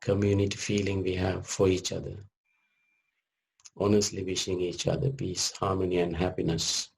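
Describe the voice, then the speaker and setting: A man leading a guided meditation as a teacher, speaking gently in short measured phrases with long pauses. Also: sits low, completely flat delivery, creaky, slight background noise, rough recording.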